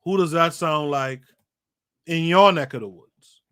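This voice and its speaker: A man's voice: fairly high pitch, measured pace, sharp tone, clear, resonant tone. A man hosting a podcast livestream, reading a book aloud and breaking off to comment, with an emphatic delivery that repeats phrases for effect and speaks to his live chat. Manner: talks to a live chat audience. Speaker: podcast host.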